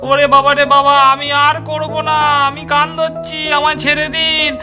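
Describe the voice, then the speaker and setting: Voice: sits high, level tone, moderate pace, music in the background, voice pushed down, close to an everyday voice. A performer, a woman, voicing a male thief in a doll story, a blustering bully who wails and pleads. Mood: pleading, whining. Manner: threatening, loud wailing and pleading.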